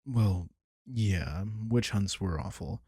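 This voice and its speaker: A man playing a protective, gentle vampire king in an intimate roleplay audio, talking unhurriedly and soothingly to one listener in a gravelly, husky voice. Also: low-pitched, lively intonation, takes it slowly, no room sound, clean studio sound, heavily acted. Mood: matter-of-fact, resolute. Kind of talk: intimate roleplay audio drama, comforting monologue.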